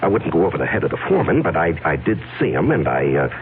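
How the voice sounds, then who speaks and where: A man's voice: rumbling low, sing-song, fast talker, full character voice. An actor playing a nervous, wealthy businessman who stammers and sounds defensive, in a 1970s radio drama. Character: nervous wealthy businessman man hiding a secret. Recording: tinny lo-fi old radio broadcast with faint hiss.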